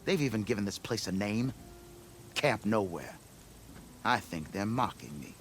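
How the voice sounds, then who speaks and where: An actor playing a blustering, scheming expedition leader in a video game, with grandiose storytelling. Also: male voice, fairly low pitch, animated, measured pace, slight background noise. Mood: eager, persuasive. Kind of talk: video game cutscene acting.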